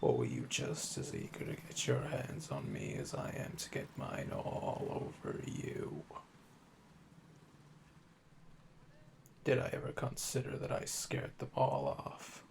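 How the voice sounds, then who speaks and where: Man playing a gravelly, seductive captive assassin. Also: extremely low pitch, huge pitch swings, background noise, heavily acted. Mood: teasing, seductive. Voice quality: gravelly, fry-laden, often dropping to breathy murmur. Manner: slow, teasing, seductive, drawling pet names. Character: calm, flirtatious yandere assassin in custody, smug and menacing. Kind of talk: roleplay audio acting, intimate ASMR.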